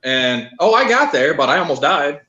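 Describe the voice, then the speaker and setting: A man's voice: fairly high pitch, big pitch swings, moderate pace, pushed and bright. Man, from Louisiana, casual storytelling, roomy echo, lo-fi sound. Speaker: podcast guest angler. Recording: echoey, dull lo-fi call audio.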